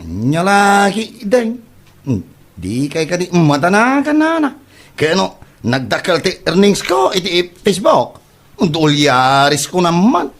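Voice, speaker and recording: A man's voice: sits high, huge pitch swings, full character voice. A man with an Ilocano accent playing a boastful, broke braggart in a comedy audio drama, stammering through his excuses. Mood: boastful, wheedling. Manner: halting, hesitant, full of drawn-out stammers.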